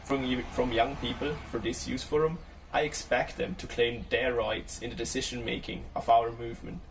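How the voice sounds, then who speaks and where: A man's voice: medium pitch, flat delivery, normal speed. A man who served on a world scout committee, giving a firm, earnest recorded video message. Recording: distant mic with faint background noise.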